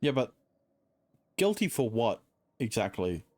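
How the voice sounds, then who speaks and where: A woman's voice: extremely low pitch, big pitch swings, unhurried, darkish tone, no echo. A woman streamer giving casual game commentary with dry, sardonic asides. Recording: faint game music behind.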